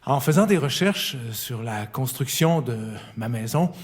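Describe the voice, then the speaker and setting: A man with a Quebec French accent reading a personal letter aloud in a calm, reflective, intimate way. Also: mid-range voice, measured pace. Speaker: letter writer. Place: recording studio. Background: faint music.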